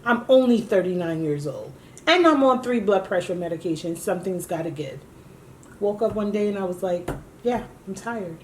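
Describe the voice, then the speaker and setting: A woman's voice: medium pitch, animated, normal speed, a little mellow, slight background noise. A woman in her late thirties, co-hosting a casual chat and telling her own story candidly and with emphasis. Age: 30s. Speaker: co-host.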